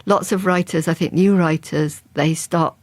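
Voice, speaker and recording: A woman's voice: lowish voice, steady tone, moderate pace, a little mellow, no echo. An older woman, a crime novelist, answering interview questions in a chatty, thoughtful way with frequent restarts.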